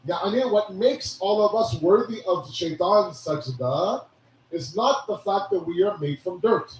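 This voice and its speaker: A hoarse man giving a sermon, preaching with animated rhetorical questions. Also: high voice, a little slow, slight background noise. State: hoarse, losing his voice. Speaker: religious speaker. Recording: echoey hall from a distance.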